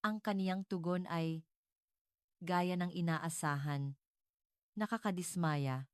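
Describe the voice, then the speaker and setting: Clear-voiced woman narrator, fairly low and dry. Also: slow pace, a little mellow, no echo. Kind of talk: dramatic first-person scripted story narration.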